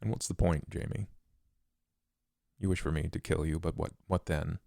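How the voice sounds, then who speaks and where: A man voicing a cold, controlled killer in a tabletop role-play, questioning in a deliberate, formal and menacing way. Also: sits low, creaky, mellow, dry recording, studio quality. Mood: cold, contemptuous. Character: cold, controlled male killer interrogating his copycat.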